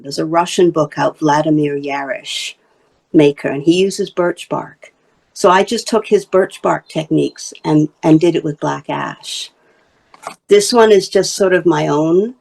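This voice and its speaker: A woman from Wales talks easily about her basket making in a relaxed interview, pointing out pieces as she goes. Her voice sits on the lower side and carries a gravelly, crackly edge. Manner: chatty, explaining her craft while showing pieces. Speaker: basketry artist and teacher. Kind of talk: casual interview talk.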